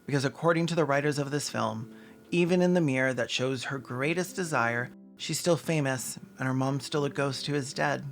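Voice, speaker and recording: A man's voice: medium pitch, normal speed, soft background music. A man delivering scripted commentary in a sardonic way, with dry asides and crude humor. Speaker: video essayist and host.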